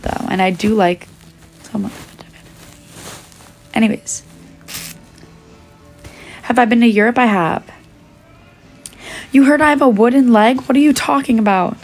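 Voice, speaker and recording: A woman's voice: medium pitch, creaky. A young woman chatting on a phone livestream, answering fan questions while she is losing her voice. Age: young adult. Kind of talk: casual livestream chat. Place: indoors, small room. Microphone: phone held at arm's length.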